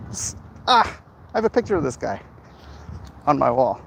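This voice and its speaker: A man talking casually off the cuff in a rambling, opinionated, halting way, like a livestream host commenting and answering chat. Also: mid-range voice, big pitch swings. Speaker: host of a livestream vlog, comic creator. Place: outdoors, walking in an urban area. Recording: clear outdoor traffic and street noise.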